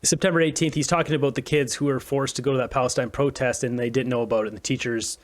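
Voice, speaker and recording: A man's voice: mid-range voice, steady tone, fast. A man giving casual, blunt and mocking commentary on video clips as the host.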